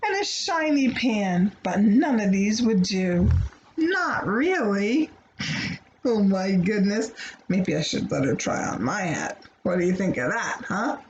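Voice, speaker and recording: A woman reading a picture book aloud to children in an expressive, playful way, with asides to her young listeners. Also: mid-range voice, slow pace, dull audio, a little hiss or hum. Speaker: children's storybook reader.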